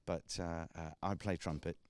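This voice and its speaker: Deep-voiced chatty man of sixty. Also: little pitch movement, moderate pace, a little mellow, clean tone, no echo. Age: 60s. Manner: rambling, chatty, with many ums and restarts. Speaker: big band director and trumpeter. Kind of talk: casual radio interview chat.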